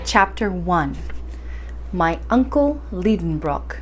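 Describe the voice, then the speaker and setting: A woman hosting a library story time, speaking and reading aloud in an expressive, encouraging way. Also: lowish voice, slow pace, loud music behind the voice, low-quality recording. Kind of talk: bedtime story reading and introduction.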